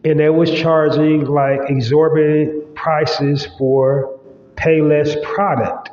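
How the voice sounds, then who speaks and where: Male podcast host, stuffy nose, slightly high pitch, unhurried rambling delivery, roomy echo, dull lo-fi sound. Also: flat delivery. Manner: loose, rambling, with many fillers. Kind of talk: casual solo podcast monologue.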